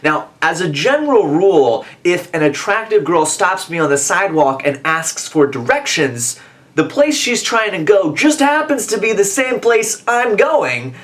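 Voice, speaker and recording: A young American man in his twenties telling a comic scripted story to camera as a vlogger, with animated, emphatic delivery. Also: high-pitched, measured pace. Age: 20s. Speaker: video blogger and motivational speaker.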